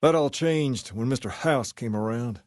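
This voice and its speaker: An actor playing a suave, folksy gang leader in a video game, with a drawl and a cool swagger. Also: male voice, medium pitch, moderate pace, darkish tone, dry recording, studio quality, lightly acted. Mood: reflective. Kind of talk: video game dialogue acting.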